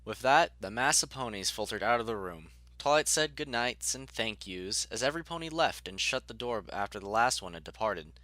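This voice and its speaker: A man reads scripted story narration in a fairly low voice. His tone is bright and forceful, and the recording is dry with no room sound. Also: dry recording.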